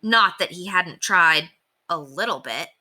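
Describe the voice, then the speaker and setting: Woman teacher, storybook read-aloud, lively pitch, very bright tone. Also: measured pace. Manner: expressive storytelling. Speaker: elementary teacher. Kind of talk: reading a chapter book aloud.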